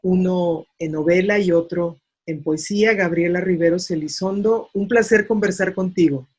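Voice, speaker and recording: A woman with a fairly low-pitched voice. She speaks as an interview host, laying out long questions with formal, deliberate phrasing. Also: darkish tone, husky.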